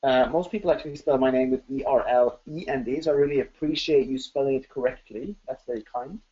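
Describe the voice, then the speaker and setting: A man's voice: medium pitch, quick pace, dark tone. A man hosting a live webinar Q&A, chatty and enthusiastic, with lots of ums and restarts. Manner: chatty, filler-heavy, enthusiastic. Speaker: webinar host. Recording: dull lo-fi webinar audio.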